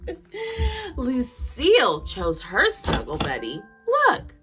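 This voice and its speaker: Very animated high-pitched woman storyteller. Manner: animated, exaggerated, deliberate phrasing for small children. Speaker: host of a children's bedtime story series. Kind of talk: children's read-aloud, storytelling to young listeners. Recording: music behind the voice. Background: music.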